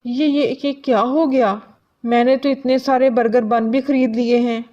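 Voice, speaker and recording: A very high, exclamatory voice from a woman playing a scheming, envious male pigeon in a cartoon. The phrases get repeated as the bird plots. The recording is dull and lo-fi. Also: fairly even intonation, unhurried, warm tone, close to an everyday voice. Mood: baffled, dismayed. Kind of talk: cartoon story character acting. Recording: dull lo-fi sound.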